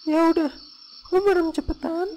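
A woman's voice: very high-pitched, flat delivery, normal speed, mellow, a little hiss or hum. A woman voicing a small, cheeky child ghost in a comic cartoon, talking in a childlike, casual, cocky way. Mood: eager, cheeky.

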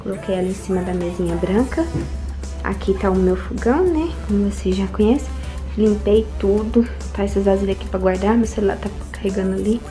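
Young woman, casual vlog voice, handheld phone, clear music bed behind. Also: medium pitch, brisk, warm tone. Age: young adult. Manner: chatty, addresses viewers directly. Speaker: home vlogger. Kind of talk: casual home tour vlog. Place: house and yard, in and out of doors. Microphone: phone held at arm's length. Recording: music playing underneath.

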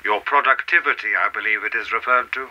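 An actor playing a mysterious, coolly menacing official in a radio play, with a formal, measured, insinuating manner. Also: male voice, on the low side, pushed and bright, lo-fi sound, slight background noise. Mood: knowing, condescending.

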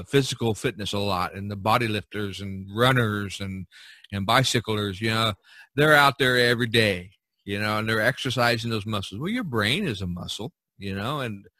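Bright-voiced man hosting a casual podcast. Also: fairly low pitch, no echo. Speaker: podcast host. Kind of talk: casual chat about topics from a list.

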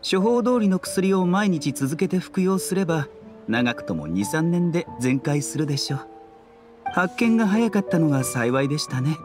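Higher-pitched, courteous doctor. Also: male voice, very animated, music in the background. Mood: reassuring, clinical. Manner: polite, measured, formal. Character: courteous, soft-mannered young male physician, reassuring and composed. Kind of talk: Japanese game voice acting.

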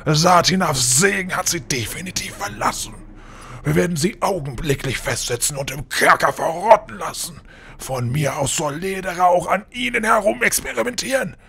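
A man's voice: sits high, lively intonation, moderate pace, creaky, pushed and bright, exaggerated voice. A man playing a scheming, cruel old lord in a fantasy audio drama, with a pompous, sneering delivery. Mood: gloating, annoyed.

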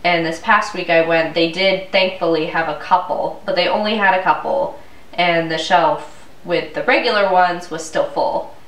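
A woman's voice: fairly low pitch, moderate pace, a bit bright. Stuffy-nosed young woman ranting. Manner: chatty, exasperated ranting. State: stuffy, congested from a cold. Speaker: vlogger. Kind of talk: casual ranting vlog. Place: living room at home, indoors. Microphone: camera mic from a few feet away. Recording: roomy, distant camera audio.

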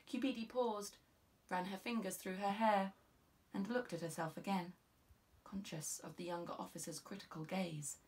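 A young woman with a British accent narrates a crime novel for an audiobook. Her pitch is average for a woman. She is recorded on a studio microphone in a small booth, sounding slightly away from it. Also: fairly even intonation, moderate pace, darkish tone, distant mic. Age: young adult. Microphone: studio condenser with pop filter, side-on.

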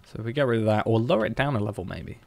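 Man, low voice, gravelly, hesitant game streamer thinking aloud. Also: expressive, very fast, no room sound. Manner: musing, hesitant, planning out loud.

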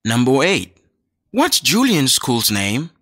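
A man reads a language textbook aloud, his pitch leaping up and down in a sing-song way. He speaks slowly and clearly for learners. The recording is close and dry, with no room sound. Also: huge pitch swings, a bit bright, no room sound. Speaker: textbook audio reader. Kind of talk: scripted dialogue reading for learners.

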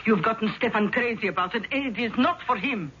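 A woman's voice: a little high, a little fast, fairly bright tone, husky. An actress playing a grave, superstitious immigrant mother in a 1970s radio drama, fretful and formal. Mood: worried. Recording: dull, faintly noisy 1970s radio recording.

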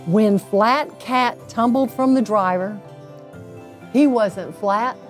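Slow-paced middle-aged woman reading to children. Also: fairly high pitch. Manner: unhurried, deliberate phrasing with drawn-out emphasis. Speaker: storybook reader, local insurance agent. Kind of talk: children's bedtime story read aloud.

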